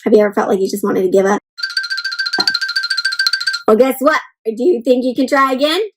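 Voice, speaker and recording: A woman with a distinctly high-pitched voice reads to young children in an interactive storytime style. She is expressive and encouraging, speaking in simple, child-directed phrases and inviting listeners to answer. Faint music accompanies her voice. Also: brisk. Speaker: children's read-aloud host. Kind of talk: interactive picture-book read-aloud to children.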